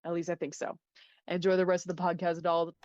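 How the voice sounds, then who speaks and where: A woman's voice: lowish voice, level tone, a little fast, a little mellow, a little hiss or hum. A woman recording a voice message, delivering a fan theory in a playful, dramatic, theatrical way. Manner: playful, dramatic, addresses listeners with pet names. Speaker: listener sending a voice message. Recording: close, dry, muffled lo-fi recording.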